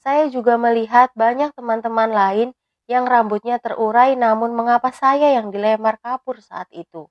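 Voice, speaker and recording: A woman in her thirties, a teacher, reading a prepared personal reflection in a measured, even way. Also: on the high side, no room sound, highs cut off. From Indonesia. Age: 30s.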